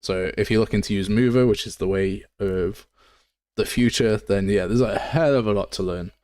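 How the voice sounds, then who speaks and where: A man with a very deep voice and an English Midlands accent, chatting casually and bluntly as he thinks aloud through a live game development tutorial. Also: moderate pace, darkish tone, smooth, no echo, studio quality.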